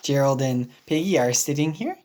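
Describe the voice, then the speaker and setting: Woman reading a picture book in hushed asides. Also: rumbling low, lively intonation, slight background noise. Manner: quick, hushed narrating asides. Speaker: English teacher reading aloud.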